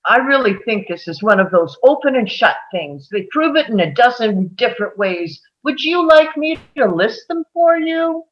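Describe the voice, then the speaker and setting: A woman playing a forceful, opinionated, insistent juror in a table read, with slightly dull sound. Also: fairly high pitch, very animated, normal speed, hardly any pauses. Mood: certain. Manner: insistent, emphatic. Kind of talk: dramatic table read of a stage drama.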